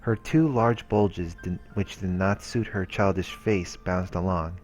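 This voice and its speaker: Casting: male gaming host narrating story text, deep-pitched, with background game music. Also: steady tone, unhurried, darkish tone. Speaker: let's play host. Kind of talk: reading visual novel narration aloud with brief reactions.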